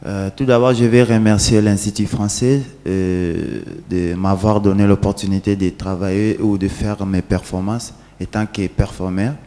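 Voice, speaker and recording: Audience member, low warm voice, formal and polite, hall echo. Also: male voice, little pitch movement, normal speed, hardly any pauses. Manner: polite and formal, thanks before asking. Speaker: independent artist asking a question. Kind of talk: audience question at a conference. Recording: echoey hall.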